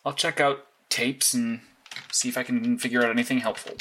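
A man's voice: medium pitch, little pitch movement, moderate pace, fairly bright tone, a little hiss or hum, natural voice. A man acting as a matter-of-fact, analytical vigilante in an audio drama, clipped and insistent. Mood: confident, planning. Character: blunt, analytical, sleepless male vigilante mastermind. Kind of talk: naturalistic audio drama dialogue.

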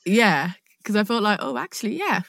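A young woman chatting casually as a podcast guest, telling stories in an animated way and laughing readily. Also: medium pitch, normal speed, dry recording, clean studio sound. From London, born in Nigeria.

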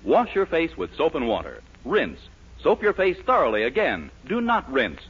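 An American man announces and narrates in polished 1940s radio style. His slightly high voice swoops widely in pitch, and the old recording is dull and lo-fi. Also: wildly swooping pitch, moderate pace, slightly rough.

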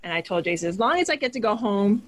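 A woman from Hawaii, a podcast guest, telling her life story in a relaxed, casual way with frequent laughs. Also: mid-range voice, quick pace, poor audio.

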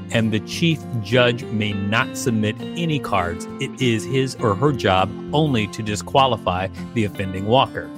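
A man hosting and reading an encyclopedia article aloud in a scripted narration style, with brief casual asides. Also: medium pitch. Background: guitar music.

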